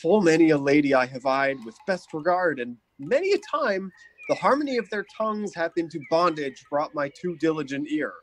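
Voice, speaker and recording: A man's voice: on the high side, sing-song, normal speed, low-quality recording. An actor playing an ardent, gallant young nobleman in love in a Shakespeare radio play, earnest and courtly. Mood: adoring wonder.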